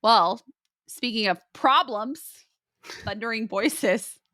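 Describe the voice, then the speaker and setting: A woman's voice: on the high side, slow, pushed and bright, dry recording, clean studio sound. A young woman co-hosting a podcast, chatting casually with lots of fillers, recorded remotely on her own mic. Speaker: podcast co-host. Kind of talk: casual chat.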